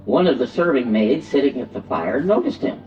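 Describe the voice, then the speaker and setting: A man giving an expressive, dramatic narration of a Bible story. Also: medium pitch, moderate pace. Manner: expressive, acts out quoted lines. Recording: distant, echoey church hall, dull sound, music.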